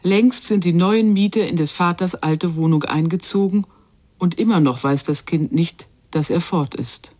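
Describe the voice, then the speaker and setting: An actress as the observant narrator of a literary radio drama, telling a child's story in a measured way. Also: female voice, on the low side, darkish tone.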